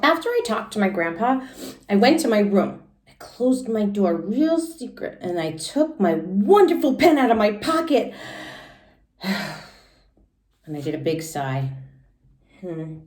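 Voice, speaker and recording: Woman, mid-pitched, teacher doing an expressive storybook read-aloud for kids in a roomy, echoing space. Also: measured pace, pauses often, distant mic. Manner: expressive, simple explaining for young listeners. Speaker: teacher reading aloud to children. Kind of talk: children's story read-aloud with casual recap. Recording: echoey room sound.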